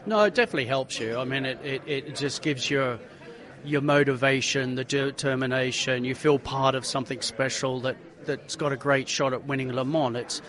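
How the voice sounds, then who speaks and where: A man, a racing driver telling stories in a casual interview, animated and full of restarts. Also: mid-range voice, normal speed. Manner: animated, repeats himself, many restarts.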